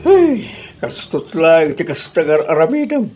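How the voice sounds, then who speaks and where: A man's voice: sing-song, unhurried, darkish tone, husky, faint music behind, heavily acted. A man with an Ilocano accent voicing a thrifty old father who lectures his daughter in a radio drama. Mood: lecturing. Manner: lecturing, swooping pitch.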